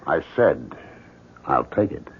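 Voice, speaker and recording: An actor playing a moody, obsessive painter in a 1970s radio drama, terse and brooding. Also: male voice, extremely low pitch, sing-song, slow, over loud music, heavily acted. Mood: curt, stubborn. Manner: terse, brooding, easily snappish. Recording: noisy, tinny 1970s radio broadcast.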